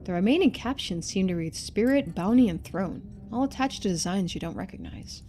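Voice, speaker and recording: A woman streamer reading a story game aloud in expressive narration, with casual asides. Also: medium pitch, a little fast, slight background noise.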